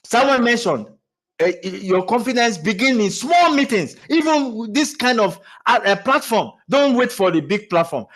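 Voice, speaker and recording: A man giving a motivational talk on public speaking, animated, with lots of restarts and repeated words, laughing as he goes. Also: very high-pitched, normal speed. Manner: laughs while talking. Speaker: speaking coach.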